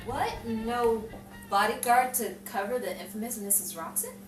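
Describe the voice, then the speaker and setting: A woman's voice: on the high side, moderate pace, music in the background, lightly acted. A woman playing a plucky, wry 1940s newspaper reporter in a noir radio play, with theatrical, musing delivery. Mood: probing. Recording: echoey, distant, noisy room recording.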